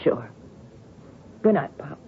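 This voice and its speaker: A woman's voice: slow pace, lightly acted. An actress playing a despairing, worn-out female tennis champion, sardonic and bitter, in a radio drama. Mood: tired, curt. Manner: sardonic, weary, dramatic swings into anguish. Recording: tinny phone-like old radio broadcast, music behind.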